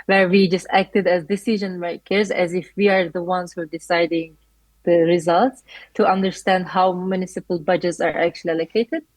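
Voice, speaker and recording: A woman's voice: fairly low pitch, darkish tone, no echo, poor audio. A woman in her twenties from Turkey, a native Turkish speaker, earnestly answering interview questions about her life as a student, with many "like" fillers. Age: 20s.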